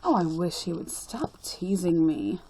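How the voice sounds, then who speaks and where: A woman voicing a sweet, sensitive young schoolgirl in a storybook, earnest and expressive. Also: fairly low pitch, a little slow, slightly rough, poor audio. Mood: wistful, upset.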